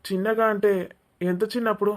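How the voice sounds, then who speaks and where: A tactful young man, played by a woman, in a mid-pitched voice for a female register. He speaks politely and placatingly to his elders, in the manner of a cartoon family drama. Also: fairly even intonation, a little fast, natural voice. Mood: reasoning.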